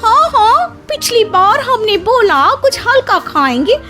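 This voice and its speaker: A woman voicing an excitable, gossipy older woman in a cartoon, shrill and gushing in manner. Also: a little slow, faint music behind, heavily acted. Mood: mocking. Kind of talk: exaggerated cartoon acting.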